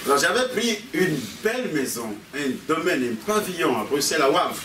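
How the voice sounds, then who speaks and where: A man's voice: fairly high pitch, very animated, moderate pace. A man with a Cameroonian French accent preaching with personal stories, repeating his phrases and prompting the congregation. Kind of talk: animated sermon with personal anecdotes. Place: church meeting hall with a congregation. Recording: echoey hall, distant mic, congregation noise.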